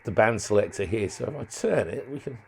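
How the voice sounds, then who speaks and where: Male hobbyist demonstrator, lowish gravelly voice, informal and unscripted, feels his way through sentences. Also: expressive, a little mellow. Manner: halting, thinking aloud. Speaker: hobbyist presenter demonstrating a modified radio. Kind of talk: casual unscripted product walkthrough.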